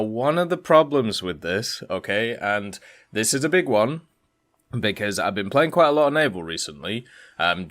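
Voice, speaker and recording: A man's voice: lowish voice, a bit bright. A man giving casual, rambling commentary as the host of a gaming video.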